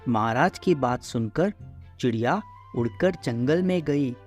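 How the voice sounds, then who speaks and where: A man's voice: medium pitch, lively intonation, unhurried, soft background music. A man narrating a scripted children's story as the storyteller.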